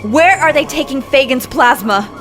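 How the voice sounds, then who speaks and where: An actress playing a tough, impatient, ailing secret agent with a hard-edged manner in a video game cutscene. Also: female voice, high-pitched, moderate pace, over music, natural voice. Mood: menacing, impatient.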